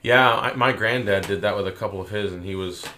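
A man's voice: on the low side, sharp tone, a little hiss or hum. A young man hosting a casual interview, listening closely and dropping short replies. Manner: attentive, quick short back-channel replies. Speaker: podcast host.

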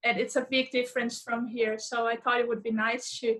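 A woman's voice: fairly high pitch, level tone, fast, a bit bright. A woman in her thirties from Brazil with a Brazilian accent, a fitness instructor talking as a guest over a call, often hesitant and repeating words as she searches for English. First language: Portuguese. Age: 30s. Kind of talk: casual interview chat.

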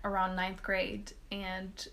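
A young woman from Canada, born in Quebec with French as her first language, chatting casually as an interview guest, animated, with many "like" fillers. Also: mid-range voice, slow pace, a little hiss or hum. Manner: animated storyteller, many "like" fillers, laughs often. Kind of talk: casual conversation.

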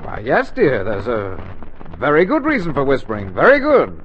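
A man's voice: mid-range voice, wildly swooping pitch, moderate pace. A man with an American accent playing a flustered, evasive middle-aged husband in a 1940s radio comedy, stammering and hesitant. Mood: nervous, flustered. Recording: noisy muffled 1940s radio broadcast.

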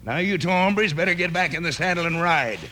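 A bright, forceful crooked western rancher. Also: male voice, high voice, brisk. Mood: hostile. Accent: American Western. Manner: gruff, curt, bullying. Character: crooked, threatening middle-aged rancher villain in a western. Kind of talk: old-time radio western acting. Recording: noisy old radio drama recording.

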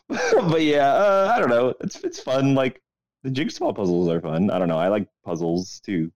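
A man's voice: medium pitch, huge pitch swings, unhurried, dry recording. A young man co-hosting a podcast in casual, rambling chat full of profanity and slang. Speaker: podcast co-host.

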